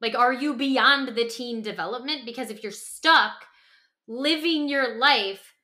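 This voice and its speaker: Bright-voiced woman, higher pitched, chatting emphatically. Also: measured pace. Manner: animated, emphatic, uses rhetorical questions and acted-out quotes. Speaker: podcast co-host. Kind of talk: casual explanatory podcast chat.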